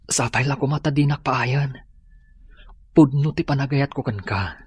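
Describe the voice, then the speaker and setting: A man's voice: mid-range voice, a little slow, darkish tone, slightly rough. A man playing an earnest, lovestruck suitor in a radio drama, tender and pleading. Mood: soft pleading. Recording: radio drama with music behind.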